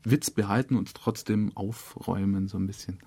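Low-voiced man explaining casually, dry sound. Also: fairly even intonation, normal speed, vocal fry, mellow, husky, dry recording. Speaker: radio drama director, interview guest. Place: dry radio studio.

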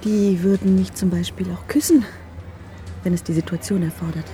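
An actress playing a flirtatious married woman in a radio crime drama, coaxing and teasing, at times dropping into a breathy whisper. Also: on the low side, steady tone, normal speed, background noise, lightly acted. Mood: seductive.

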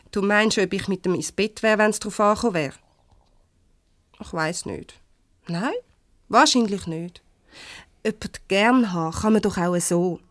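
A woman playing a warm-hearted, defensive divorcee in a Swiss German radio comedy, conversational and a little hesitant. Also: mid-range voice, dry recording, studio quality. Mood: indignant, evasive. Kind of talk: naturalistic 1970s radio comedy acting.

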